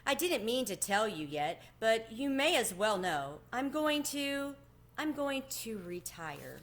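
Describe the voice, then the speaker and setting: A woman voicing a gentle, homesick male cricket in a storybook, earnest and wistful in manner. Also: on the high side, very animated, slow pace, slight background noise, voice pushed up, exaggerated voice. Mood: apologetic, resolved.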